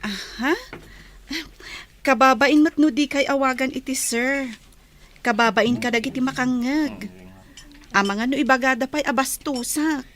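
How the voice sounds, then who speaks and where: A woman's voice: high voice, a little slow, pauses often, fairly bright tone. A woman with an Ilocano accent playing a shy, modest young canteen server in a radio comedy drama. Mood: bashful, polite. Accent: Ilocano Filipino.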